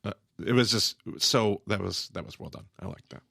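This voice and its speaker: A man with a low, gravelly voice co-hosts a podcast, chatting casually and often restarting mid-sentence as he stumbles over his words. The recording is close and dry. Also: moderate pace, sharp tone, no echo. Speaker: podcast co-host. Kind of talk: casual chat.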